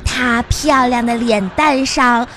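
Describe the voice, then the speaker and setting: A very high-pitched little schoolgirl in a radio comedy sketch. She is earnest and eager, speaking slowly and carefully as if reciting for teacher. Music plays behind her. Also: fairly even intonation, a bit bright. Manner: childlike, slow recited reading. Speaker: comedy show performer.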